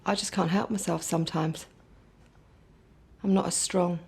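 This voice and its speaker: An actress playing a loving, unwell mother who speaks softly and hesitantly in a radio drama. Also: lowish voice, steady tone, moderate pace, gravelly, soft background music. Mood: fragile. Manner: soft, gentle, hesitant. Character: loving mother, fragile, unwell, proud of son.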